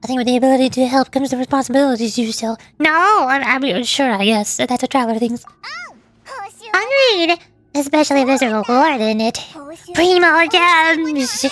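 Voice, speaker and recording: A woman's voice: high voice, lively intonation, moderate pace, a bit bright. A woman VTuber with a playful, cutesy, chatty manner, commenting on a game and reading its dialogue aloud.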